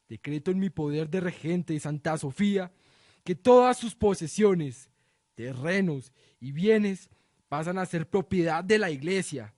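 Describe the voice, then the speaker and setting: Man acting a cruel witch-hunting regent, formal, commanding, high male voice, radio drama, dry sound. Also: darkish tone, no echo.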